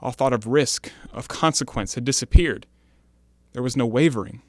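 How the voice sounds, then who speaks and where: An anguished former convict , played by a male actor, pleads his case in formal, declamatory stage language. He is a man of medium pitch, earnest and guilt-ridden, heard in a dry, close recording. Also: normal speed, dry recording, natural voice. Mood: bewildered, intense. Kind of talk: stage-play acting in an audio drama.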